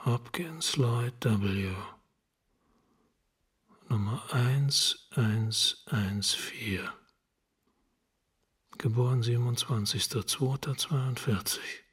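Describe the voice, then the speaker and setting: Terse detective, mid-pitched voice. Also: male voice, extremely slow, long pauses, a bit bright, slightly rough, heavily acted. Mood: intent, suspicious. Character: terse, focused male homicide detective sergeant. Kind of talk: German radio crime drama.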